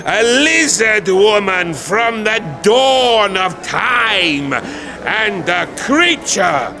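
A man's voice: lively intonation, very slow, heavily acted. An actor playing a boastful male freak-show ringmaster who barks out his acts in a grand, theatrical manner. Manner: booming, showy barker. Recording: loud crowd and music behind.

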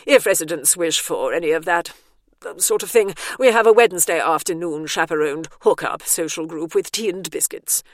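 A stern, prim matron, as a woman reader puts her on, with a low, dry voice that carries some life in it. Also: moderate pace, no room sound, lightly acted. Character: stern prudish female matron.

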